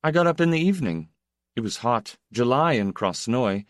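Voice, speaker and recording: A man's voice: mid-range voice, animated, moderate pace, dry recording, clean studio sound, voice pushed up, natural voice. A man voicing an intense, melancholy younger brother, a proud poet who speaks in a restless, confessional, emphatic way.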